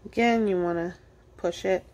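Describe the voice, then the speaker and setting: A woman's voice: on the low side, steady tone, normal speed, darkish tone. A woman hosting an informal step-by-step cooking tutorial, explaining casually as she works with her hands at a kitchen counter. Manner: casual, explanatory, talking while working with hands. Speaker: cooking demonstrator, host of a library cooking show.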